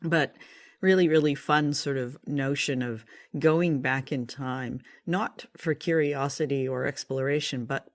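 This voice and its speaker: Easygoing North American podcast co-host, medium pitch. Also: fairly even intonation, measured pace. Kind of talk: casual review chat.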